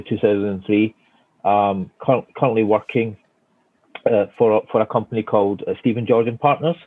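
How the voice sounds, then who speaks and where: A man's voice: lowish voice, no echo. A man in his forties from Scotland with a Glaswegian accent, answering interview questions casually with many fillers and restarts from his home office. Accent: Glaswegian Scottish. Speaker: guest architect. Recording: tinny phone-like line, dry.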